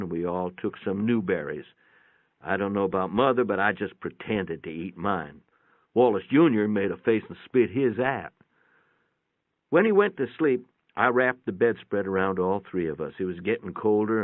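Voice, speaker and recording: A man giving a dramatic first-person reading of a short story in a measured, reflective way. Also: fairly low pitch, dry recording. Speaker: story reader. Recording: thin band-limited phone-like sound, dry.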